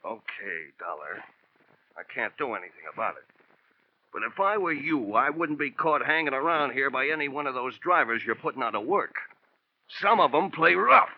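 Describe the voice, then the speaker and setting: An actor playing a gruff, hot-tempered ex-convict cab fleet owner with an American accent, blustering and streetwise, in an old radio crime drama. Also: male voice, medium pitch, animated, moderate pace, natural voice. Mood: sullen, menacing. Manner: belligerent, blustering, streetwise grammar. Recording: dull, noisy old radio recording.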